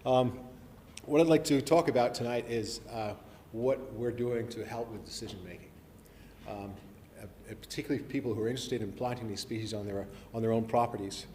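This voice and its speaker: A man's voice: mid-range voice, brisk, mellow. A middle-aged man from New Zealand with a mixed, not typically Kiwi accent, giving a chatty, self-deprecating talk to an audience, full of ums and restarts. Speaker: forestry professor giving a talk. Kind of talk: live presentation to an audience. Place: lecture hall. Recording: live hall recording with reverb and faint hiss.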